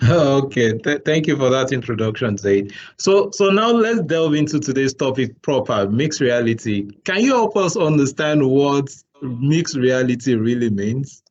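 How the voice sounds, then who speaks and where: A man's voice: medium pitch, very animated, measured pace, hardly any pauses, a little mellow. Male host, mid-range voice, hesitant with fillers, lo-fi video-call sound. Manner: hesitant, repeats words, many fillers. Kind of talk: online talk show hosting.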